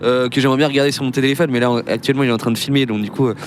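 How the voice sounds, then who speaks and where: A man's voice: mid-range voice, steady tone, rapid-fire, background noise. A young man chatting casually on a podcast, rambling and interrupting himself as he tells a story from inside a moving car. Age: young adult. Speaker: podcast co-host. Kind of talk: casual chat.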